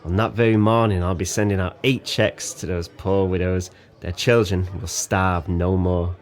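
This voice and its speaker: An actor playing a drunken, guilt-ridden former sailor in an audio drama, effusive, sentimental and rambling. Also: male voice, low voice, level tone, moderate pace, lightly acted. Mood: jubilant, grateful.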